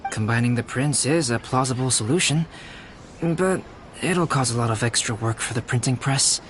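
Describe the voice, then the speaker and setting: A man voicing a calm, courteous, reserved young artist in a video game, measured and polite. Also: medium pitch, slight background noise, close to an everyday voice. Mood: reasoning.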